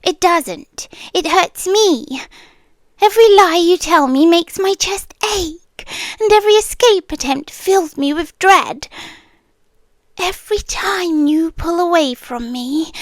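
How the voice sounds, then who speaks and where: A woman's voice: very high-pitched, no echo. A British woman playing a centuries-old possessive siren girlfriend in an ASMR roleplay, tender yet menacing, often sinking into whispers. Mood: wounded, controlling. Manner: slow, hushed, dropping often into whispers. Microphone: close, dry microphone.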